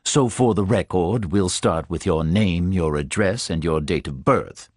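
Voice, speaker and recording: A composed detective captain speaks in a deep, rumbling, precise voice, as a male narrator plays him. His diction is formal and measured. The delivery is slow and dry. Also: darkish tone, no room sound.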